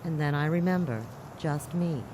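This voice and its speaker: A woman's voice: low-pitched, moderate pace, background noise, close to an everyday voice. An actress playing a tough, blunt, slangy woman who runs a mining outpost in a science fiction radio drama. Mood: bitter, sardonic. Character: brash, hard-bitten woman boss of a mining outpost.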